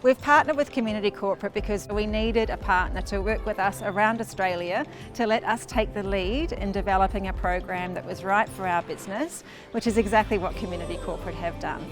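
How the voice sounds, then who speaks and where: A woman's voice: medium pitch, a little fast, few pauses. A woman speaking as a company spokesperson in a corporate interview, polished and articulate. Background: music.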